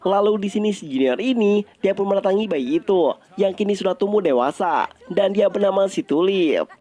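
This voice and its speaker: A man's voice: high voice, expressive, normal speed, warm tone. A man narrates a film recap in a casual, scripted style, addressing viewers directly in colloquial speech. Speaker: movie recap host.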